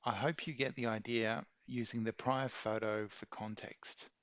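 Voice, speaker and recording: Man, scripted tutorial narration, methodical, gravelly edge, dry close sound. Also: mid-range voice, little pitch movement, measured pace, a bit bright, no room sound, lo-fi sound. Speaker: video maker giving an installation tutorial.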